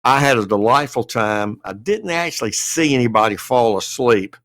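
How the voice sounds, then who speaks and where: A man's voice: medium pitch, normal speed, a bit bright, dry recording. An American man giving a detailed, methodical update as a panellist on a casual podcast. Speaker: podcast panellist and amateur radio writer.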